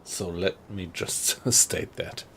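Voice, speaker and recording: Slow, gravelly German-accented man. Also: sits low, fairly even intonation, sharp tone, slight background noise. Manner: thoughtful, friendly, halting with fillers. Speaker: streamer. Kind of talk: casual live-stream chat with viewers.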